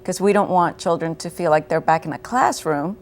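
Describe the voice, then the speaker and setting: A woman guest explaining a community program in an interview, clear and full of examples. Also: on the low side, brisk, a little hiss or hum. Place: local TV studio.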